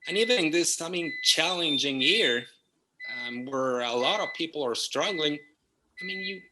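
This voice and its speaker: A man from Argentina, a native Spanish speaker with an Argentine accent, giving unhurried, reflective interview answers over a video call, often restarting his sentences. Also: a little high, lively intonation, noisy background. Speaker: guest, property management partner. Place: home in the jungle.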